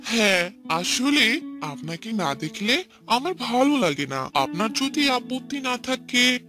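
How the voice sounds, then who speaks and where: A man's voice: very high-pitched, very animated, slow, music in the background, heavily acted. A man voicing a smitten, scheming young village suitor in a cartoon, exclaiming and ingratiating. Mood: eager, courting. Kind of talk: cartoon voice acting.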